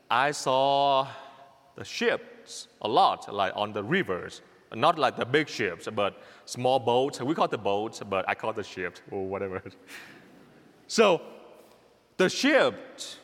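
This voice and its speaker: Vietnamese-accented priest, slow and lively. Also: male voice, fairly high pitch, in a large hall. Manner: repetitive, emphatic, exhorting, simple halting English. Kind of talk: homily.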